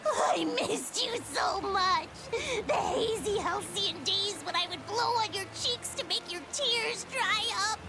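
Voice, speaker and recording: A woman voicing a tiny, excitable, doting creature companion in a video game, speaking in florid, theatrical phrases. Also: very high voice, slow, noisy background. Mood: gushing, wistful. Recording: loud music behind.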